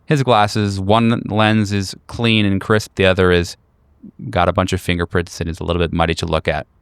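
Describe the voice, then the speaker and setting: A man narrating a tabletop horror game as game master, in a measured, descriptive storytelling manner. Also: deep voice, flat delivery, clean tone, no echo, clean studio sound.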